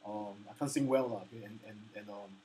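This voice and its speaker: A man's voice: steady tone, fast, dark tone, a little hiss or hum, rough recording. A man teaching ukulele, speaking hesitantly with many restarts and "okay?" check-ins. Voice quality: low baritone voice. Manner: apologetic and earnest. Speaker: ukulele instructor. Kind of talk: casual spoken lesson with sung demos. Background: ukulele playing.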